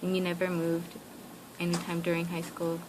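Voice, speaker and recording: A woman's voice: fairly low pitch, completely flat delivery, normal speed. A young woman interviewing for an oral history project, asking hesitant questions full of fillers and "like". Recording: noisy recording with room hiss.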